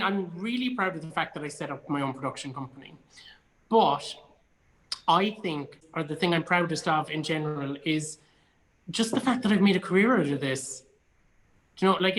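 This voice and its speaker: A man's voice: fairly high pitch, normal speed, fairly bright tone, rough recording. An Irish man in his thirties with a Cork accent talks as an interview guest. He is chatty and informal, leaning on words for emphasis. His storytelling has a self-deprecating streak. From Ireland. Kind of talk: casual interview anecdotes.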